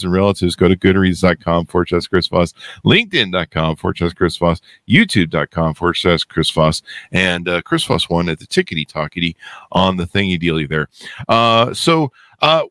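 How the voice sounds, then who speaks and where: A man's voice: fairly low pitch, a bit bright, no room sound. A man hosting a podcast in a casual, jokey, rambling way with a playful, self-deprecating manner. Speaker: podcast host.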